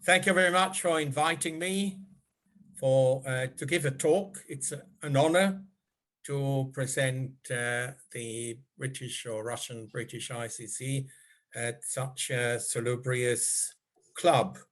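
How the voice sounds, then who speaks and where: An older man from Switzerland, speaking as a guest at a club meeting over a video call. He talks in a measured, polite way, with frequent filler pauses. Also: medium pitch, fairly bright tone.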